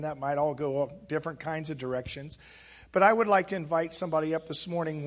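A hoarse man speaking as a pastor, giving casual church announcements with frequent fillers. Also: fairly high pitch. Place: church sanctuary.

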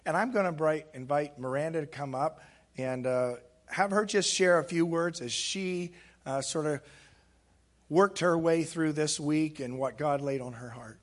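Man, pastor, hoarse, slightly high voice, casual church announcements, lots of fillers. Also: measured pace. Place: church sanctuary.

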